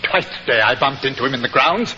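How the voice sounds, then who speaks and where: An actor playing an arrogant, hot-tempered rich gentleman in a 1940s radio drama, imperious and blustering. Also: mid-range voice, animated, fast talker, soft background music. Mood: indignant demanding. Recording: dull, reverberant, hissy old radio recording.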